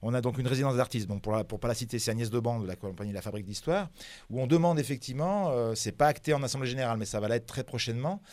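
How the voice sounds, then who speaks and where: A man's voice: medium pitch, racing, a little mellow. A man whose first language is not French, presenting a cultural program in a radio interview, hesitant with many fillers and restarts.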